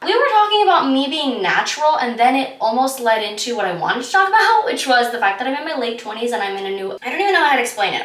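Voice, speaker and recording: A woman's voice: on the high side, sharp tone, far from the mic, a little hiss or hum. A woman, chatting casually in a candid, rambling, slangy vlog style. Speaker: vlogger. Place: indoors at home, echoey room. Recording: roomy echo, away from the mic.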